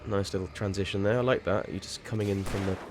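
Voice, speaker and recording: A man's voice: deep voice, fairly even intonation, fast talker, noisy background. Rambling, sweary man streaming a game. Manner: rambling, sweary, bursts into startled outcries. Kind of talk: casual livestream chat.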